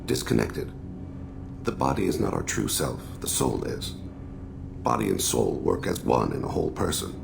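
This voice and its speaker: An actor playing a solemn, regretful alien assassin and father in a video game, speaking in measured, formal, introspective phrases with a gravelly voice. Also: huge pitch swings, noisy background, heavily acted. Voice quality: very deep, heavily gravelly. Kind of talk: video game dramatic dialogue.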